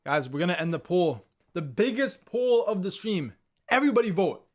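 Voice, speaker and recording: A man's voice: high-pitched, big pitch swings, moderate pace. A young man from Canada hosting a live stream, chatting casually and energetically with his viewers in slangy, repetitive talk. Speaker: livestream host.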